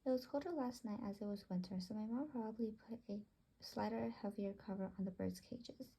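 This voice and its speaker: A woman's voice: mid-range voice, fairly quick, gravelly, mellow, breathy edge, rough recording. A young woman reading her own story aloud in a narrating style.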